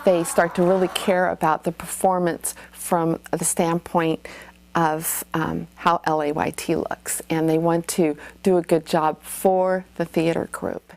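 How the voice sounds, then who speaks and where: A middle-aged woman talks in an interview about her work as theatre staff. Her voice sits fairly low and has a marked creak. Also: little pitch movement, a little slow, a little mellow. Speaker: youth theatre staff member. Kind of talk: documentary interview.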